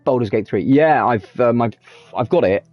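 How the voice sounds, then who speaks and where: A man's voice: mid-range voice, expressive, moderate pace, no echo, dull audio, soft background music. A man chatting casually while streaming a game, rambling and swearing freely. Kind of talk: casual live commentary.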